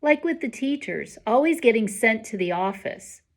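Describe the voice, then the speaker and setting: A woman voicing a quiet, observant, bookish boy with a measured, matter-of-fact manner in a storybook. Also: a little high, lively intonation.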